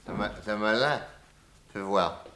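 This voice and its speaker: A man's voice: on the low side, sharp tone. An actor playing a genial, tipsy detective uncle who rambles and stammers through his tale in a 1960s French radio drama. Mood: concerned, hesitant. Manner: hesitant, halting, stammering.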